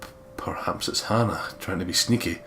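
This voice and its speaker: A man's voice: low voice, fairly quick, bright, forceful tone, whispered. A man hosting a let's play, reading visual novel narration aloud with some casual commentary.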